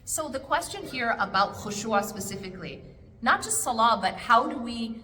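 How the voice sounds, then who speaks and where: Woman, lecturer, conversational, rhetorical questions, bright tone, echoey hall, faint background hiss. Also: on the high side, sing-song, normal speed. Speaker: speaker at an Islamic study circle. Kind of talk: lecture answering an audience question.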